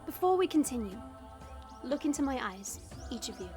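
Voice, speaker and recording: A proud female dragon from a fantasy audio drama , played by a woman. Her voice is high and her diction formal and condescending, as if lecturing lesser creatures. Clear music plays behind her. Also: big pitch swings, normal speed, a little mellow. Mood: solemn, instructing.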